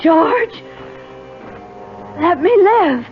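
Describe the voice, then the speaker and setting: An actress playing a frail dying wife, pleading plaintively in a radio drama. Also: female voice, extremely high pitch, very animated, extremely slow, full character voice. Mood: desperate pleading. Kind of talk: 1970s radio drama acting. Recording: muffled phone-like old radio, music behind.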